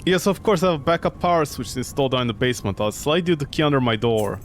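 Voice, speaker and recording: A man's voice: mid-range voice, animated, quick pace, faint music behind, lightly acted. A man voicing an anxious, stubborn old woman tenant in a visual novel, with stilted formal phrasing. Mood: polite, musing.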